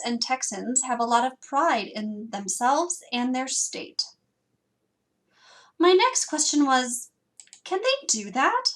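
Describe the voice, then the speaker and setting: Wisconsin lady librarian, higher voice, upbeat scripted lesson, lots of questions. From Wisconsin, USA. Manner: lively, self-teasing, asks many questions. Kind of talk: scripted instructional narration.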